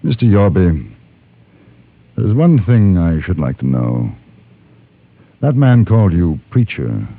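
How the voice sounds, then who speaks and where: An actor playing a courteous, steadfast gentleman traveler in a radio western, formal and measured. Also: low-pitched, big pitch swings, creaky, full character voice. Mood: courteous concern. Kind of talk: old-time radio western acting and narration. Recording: narrow, noisy vintage radio recording.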